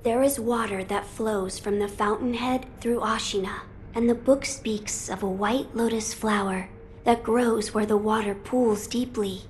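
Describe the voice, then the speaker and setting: A solemn young boy lord acted by a woman speaks in a video game. His delivery is formal, pensive and carefully measured, with faint ambient noise behind it. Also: fairly high pitch, fairly even intonation, a little mellow, natural voice. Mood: grave, pensive. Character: young noble boy lord, grave and thoughtful.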